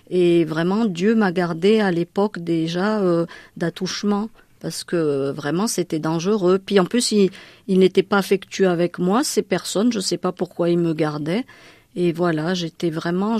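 Interviewee: a Malagasy-born woman, native Malagasy speaker, hesitant and halting, dry close recording. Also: on the low side, a little mellow, no echo. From Madagascar. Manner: hesitant, many fillers and restarts. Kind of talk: personal life story in an interview.

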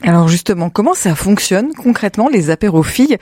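A woman radio host introducing a guest and asking interview questions, with a smooth, measured presenter delivery. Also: medium pitch, expressive, a little mellow, no room sound, studio quality. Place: radio studio. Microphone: studio microphone.

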